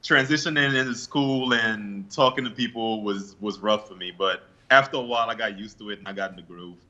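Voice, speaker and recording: A young man from Guyana answering interview questions in a relaxed, laid-back way with hesitant fillers. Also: on the low side, a little fast, muffled recording. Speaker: interview guest, bodybuilder.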